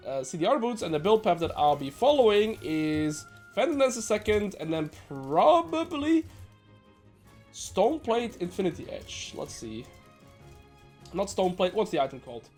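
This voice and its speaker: A man from the Netherlands, sounding nasal and a little sick, giving brisk gameplay commentary as a coach with joking asides. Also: sits high, very animated, darkish tone, soft background music. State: slightly sick. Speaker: game coach.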